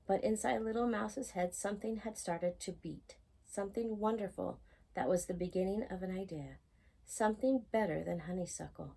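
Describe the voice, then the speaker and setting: A woman reading a picture book aloud in an expressive, animated storytime style, acting out the characters. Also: mid-range voice, normal speed, creaky, husky, rough recording. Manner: animated, acts out characters' lines.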